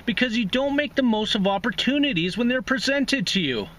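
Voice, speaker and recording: A man's voice: very high-pitched, animated, fairly quick, fairly bright tone, voice pushed up. A man voicing a blunt, insistent young woman, an ex-girlfriend in a short story. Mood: pointed. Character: young woman, the ex-girlfriend, firm and matter-of-fact.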